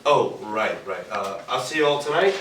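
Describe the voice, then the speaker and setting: A man's voice: mid-range voice, lively intonation, normal speed, a bit bright, lightly acted. An actor playing an upbeat, reassuring male star of a radio serial, in theatrical stage dialogue. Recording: distant, echoey stage recording with hiss.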